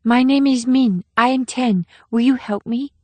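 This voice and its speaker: A woman voicing a frightened, timid ten-year-old girl who speaks in simple, halting short sentences in an audiobook. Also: on the high side, dry recording. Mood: scared, pleading. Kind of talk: audiobook character dialogue.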